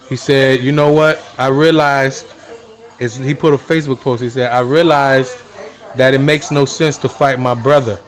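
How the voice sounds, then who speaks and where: Man, earnest, unhurried, lots of fillers, mid pitch. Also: poor audio. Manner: earnest, unhurried, frequent fillers like "you know" and "um". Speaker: interviewee, spiritual practitioner. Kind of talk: casual conversational explanation.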